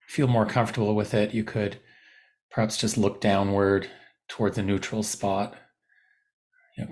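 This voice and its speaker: Male meditation teacher, lowish gravelly register, gentle and slow to move on, instructing with spacious pauses. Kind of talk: guided body scan meditation.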